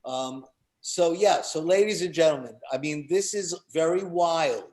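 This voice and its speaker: A man's voice: a little high, a little slow, darkish tone. A man hosting a live festival event, chatting casually as he introduces things. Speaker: festival host and performer.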